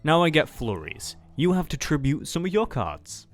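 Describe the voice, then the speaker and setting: A man's voice: fairly high pitch, sing-song, moderate pace, faint music behind. A man playing out an improvised card-duel roleplay, announcing each move with theatrical flourish.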